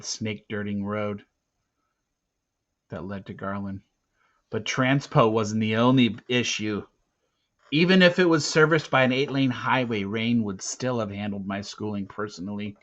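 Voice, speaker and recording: A man reading a novel aloud in an expressive first-person storytelling style. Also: fairly low pitch. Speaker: teacher reading a book aloud. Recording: slightly muffled, dull recording.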